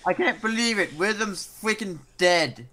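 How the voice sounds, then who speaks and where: A man chatting casually with friends over voice chat, with other voices loud behind him. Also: sits high, a little slow.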